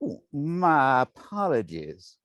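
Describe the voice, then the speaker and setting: A man's voice: fairly high pitch, wildly swooping pitch, slow pace, fairly bright tone, highs cut off, heavily acted. A man reading the part of an elderly neighbor at a table read, mock-polite and needling.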